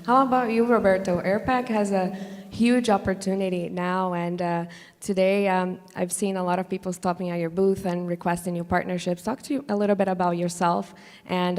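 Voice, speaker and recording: A woman's voice: lowish voice, little pitch movement, moderate pace. A woman moderating a conference panel, introducing speakers and putting questions to them. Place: conference hall. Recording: echoey hall with long reverb.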